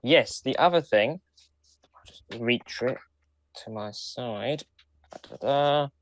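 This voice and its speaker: A man's voice: medium pitch, slow, slight background noise. A man co-hosting a podcast in casual conversation. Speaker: podcast co-host. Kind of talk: casual chat.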